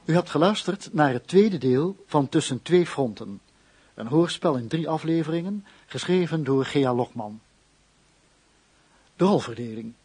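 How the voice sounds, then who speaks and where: A male radio announcer reads scripted credits formally. His voice is on the higher side and husky, with a lively, varied melody as he moves through the list. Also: a little slow.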